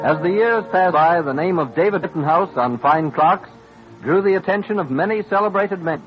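Old radio narrator guy, slightly high voice, muffled, music behind. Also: moderate pace. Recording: dull vintage radio recording.

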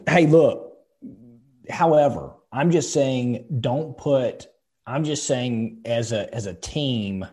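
A man's voice: medium pitch, slow, mellow, slightly rough. A man in his thirties, co-hosting a podcast, giving emphatic, casual advice with some swearing. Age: 30s. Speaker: podcast co-host. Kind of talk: casual chat.

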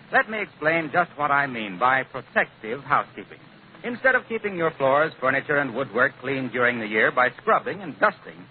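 A man announcing in a polished, declamatory 1940s radio style, reading from a script. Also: medium pitch. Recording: noisy, narrow-band old radio recording.

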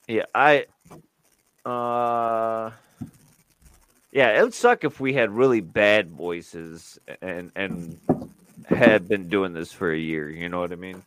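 A man's voice: fairly low pitch, slow pace, long pauses, fairly bright tone, clear, resonant tone, low-quality recording. A man co-hosting a podcast, chatting casually and rambling with lots of fillers and restarts. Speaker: podcast co-host. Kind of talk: casual chat.

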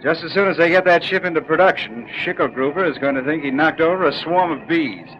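Confident test pilot played by a man, higher-pitched. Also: animated, fairly quick, lightly acted. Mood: breezy, assured. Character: confident, combative male military test pilot captain. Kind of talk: 1940s radio acting. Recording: dull vintage radio recording, vehicle noise.

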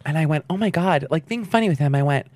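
A woman in her twenties chatting candidly as a podcast guest, swearing freely and trailing into quick asides. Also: low-pitched, lively intonation, a little mellow, no echo. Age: 20s. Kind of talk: casual chat.

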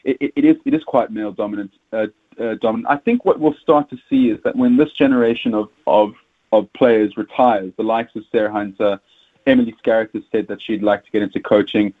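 A man's voice: on the low side, steady tone. South African man on a tinny phone line. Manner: halting, many repeated words and fillers. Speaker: rugby pundit guest. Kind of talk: casual interview chat.

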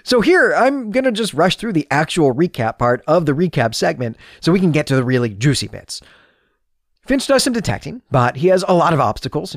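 A man's voice: a little high, no echo, studio quality. A man hosting a book discussion podcast, explaining in a conversational, semi-scripted way with emphatic phrasing. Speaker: podcast host and historian.

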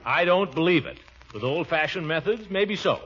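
Blustery uncle with wildly swooping voice. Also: male voice, fairly high pitch, lively intonation, moderate pace, a bit bright, a little hiss or hum. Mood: proud, defensive. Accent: American. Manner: pompous, chuckling. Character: blustery, good-natured middle-aged uncle and guardian. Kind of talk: 1940s radio comedy acting. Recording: dull old radio recording.

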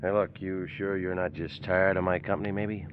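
An actor playing a rough, terse young hitchhiker with a wry streak, in old radio drama style. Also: male voice, low-pitched, flat delivery, moderate pace, close to an everyday voice. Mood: blunt. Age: young adult. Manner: terse, sardonic. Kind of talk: 1940s radio acting. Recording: muffled old radio recording, loud car noise.

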